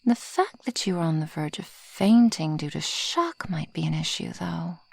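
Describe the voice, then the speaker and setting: A woman voicing a possessive, proud female dragon in an ASMR roleplay, with formal, haughty phrasing and a breathy, husky voice that often drops toward a whisper. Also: lowish voice, animated, moderate pace, dry recording, heavily acted. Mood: haughty, teasing. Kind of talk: ASMR roleplay addressed to the listener.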